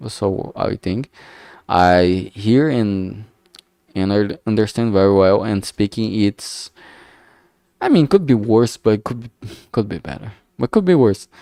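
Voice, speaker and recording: A man's voice: fairly low pitch, vocal fry, darkish tone, dry recording, studio quality. A man from Brazil with a thick Brazilian Portuguese accent, rambling hesitantly with many fillers as he thinks aloud on a stream. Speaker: game developer streamer.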